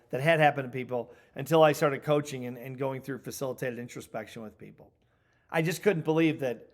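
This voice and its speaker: Middle-aged man, somewhat high voice, coaching trainer, instructional talk, deliberate emphatic delivery. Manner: emphatic, deliberate, repeats key points for stress.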